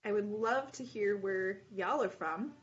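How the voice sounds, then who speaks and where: A woman's voice: mid-range voice, unhurried, dull audio. A woman who owns a yarn shop and dyes the yarn herself, chatting in an upbeat, casual way and laughing often. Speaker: yarn shop owner and hand dyer. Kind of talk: casual video-call chat. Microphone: video call.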